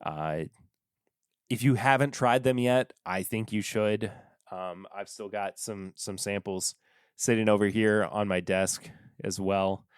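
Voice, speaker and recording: Unhurried low-voiced man, smooth and dry. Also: dry recording. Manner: rambling, halting, self-interrupting. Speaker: podcast host reviewing whiskey. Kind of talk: casual solo podcast talk. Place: home desk.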